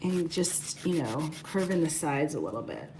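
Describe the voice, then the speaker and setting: A woman in her thirties, hoarse and raspy from losing her voice, chatting casually to livestream viewers during a nail tutorial and greeting them by name. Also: sits low, big pitch swings, moderate pace, a little mellow, a little hiss or hum, low-quality recording. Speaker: beauty livestream host.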